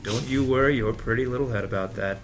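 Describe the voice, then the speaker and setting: A man's voice: medium pitch, fast talker, close to an everyday voice. A man playing a breezy, cocky young customer in an audio drama table read, theatrical and teasing.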